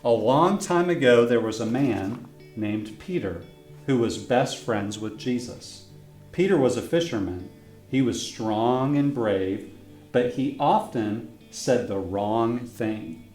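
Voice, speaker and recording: A man's voice: medium pitch, lively intonation, slow pace, a little mellow. A man reading his own children's book aloud as a friendly storyteller, stopping to ask young listeners questions. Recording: roomy echo with faint guitar music.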